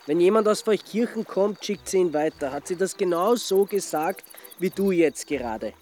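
An actor playing a dogged, wry amateur sleuth with an Austrian accent in a naturalistic radio drama. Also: male voice, high voice, animated, moderate pace, darkish tone, faint music behind. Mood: urgent, insistent. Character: Suchanek, dogged amateur sleuth, adult man, wry and urgent.

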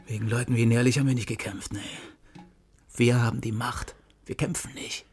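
An actor playing an embittered, coarse and threatening armed East German state security officer in a radio crime drama. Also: male voice, medium pitch, steady tone, a little fast, a little mellow, natural voice. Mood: menacing, wounded.

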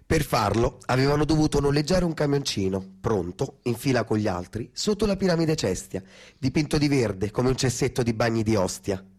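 Rough sardonic Roman storyteller, acted by a man. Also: medium pitch, animated, normal speed, darkish tone, close to an everyday voice. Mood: sardonic narrating. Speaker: stage actor-narrator in a radio theatre piece. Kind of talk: theatrical literary storytelling.

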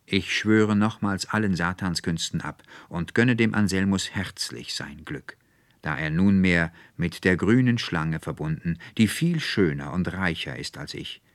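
A man's voice: sits low, measured pace, dry recording. A man voicing an earnest, resolute young woman in an audiobook, declaiming emphatically in formal, old-fashioned phrases. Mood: solemn, resolute. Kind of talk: literary audiobook character dialogue.

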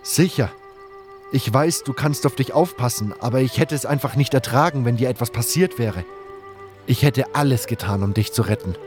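A man playing a smitten, awkward young adventurer who speaks haltingly in a scripted fantasy audio drama. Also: mid-range voice, over music, close to an everyday voice. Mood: earnest, smitten. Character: nameless male adventurer, smitten, awkward, self-deprecating hero. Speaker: narrator and lead actor of a fan audio drama. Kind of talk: first-person scripted narration and dialogue.

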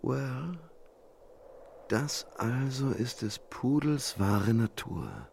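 An actor playing a dapper, excitable British gentleman monster hunter in a radio play, pompous and mannered. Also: medium pitch, extremely slow, gravelly, a little hiss or hum, full character voice. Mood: rueful.

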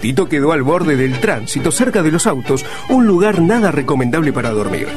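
A man with an Argentine accent narrates a radio serial. His voice sits fairly high, with a theatrical, mock-solemn delivery that draws words out over clearly audible music. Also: very animated, fairly quick. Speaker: radio drama narrator and announcer.

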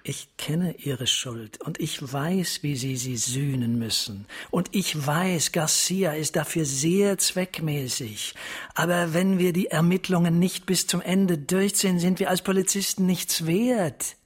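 A grave resigned police captain in a radio play, husky, kinda higher voice, formal and deliberate. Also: male voice. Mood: grave, insistent. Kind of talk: radio drama acting.

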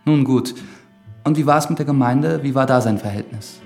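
Radio drama priest male actor: earnest church investigator, pious and formal, slightly gravelly voice, music underneath. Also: mid-range voice, normal speed, darkish tone, lightly acted. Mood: measured, inquiring. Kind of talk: German radio drama acting.

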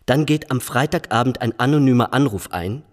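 A man's voice: medium pitch, brisk, lightly acted. Pointed, smug policeman played by a man, reverberant room. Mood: critical, pointed. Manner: challenging, pointed, assertive. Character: ambitious, critical, smug male police colleague. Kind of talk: radio drama dialogue.

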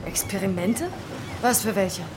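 An actress playing a blunt, sceptical survivor in a horror radio drama, with a challenging, incredulous manner. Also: female voice, medium pitch, measured pace, noisy background, close to an everyday voice. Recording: very loud music behind the voice.

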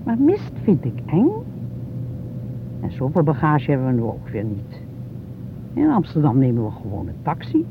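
Fussy, lilting older spouse. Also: male voice, wildly swooping pitch, normal speed, pauses often. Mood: coaxing, anxious. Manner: fussy, coaxing. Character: fussy, anxious older spouse travelling by car. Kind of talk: naturalistic radio drama acting. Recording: tinny, noisy recording with vehicle rumble.

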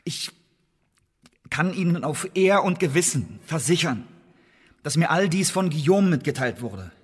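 A male actor playing an accused spy in a German radio play, earnestly and stubbornly protesting his innocence in formal, emphatic words with a husky voice. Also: on the high side, expressive, a little slow. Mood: agitated insistence. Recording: reverberant hall-like radio drama room.

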